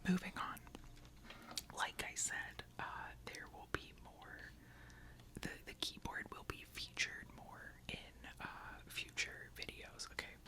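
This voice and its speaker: A woman whispering casually into a close microphone for an ASMR video, chatty and giggly. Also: measured pace. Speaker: ASMR creator.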